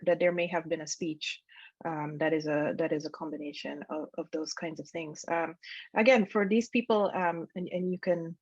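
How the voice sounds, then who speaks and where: Soft-voiced woman answering questions on a call. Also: lowish voice, no room sound, lo-fi sound. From Guyana. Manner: thoughtful, many ums and restarts. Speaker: academic speaker. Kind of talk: answering questions after a lecture. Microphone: video call.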